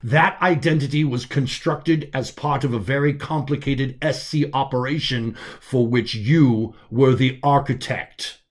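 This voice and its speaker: Man voicing a calm, commanding comms officer. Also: mid-range voice, close to an everyday voice. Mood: explaining. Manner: measured, authoritative, reassuring. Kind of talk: dramatic audiobook character voice.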